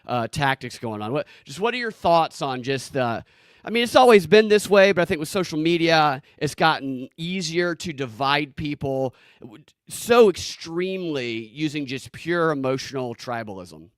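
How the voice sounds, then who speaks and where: A man in his thirties talks over a video call in a smooth, clean voice with a dry, close sound. He jokes sarcastically and rambles from one topic to the next in a relaxed conversation. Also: fairly high pitch, moderate pace, dry recording. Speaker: podcast host, guest here. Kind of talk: casual interview chat.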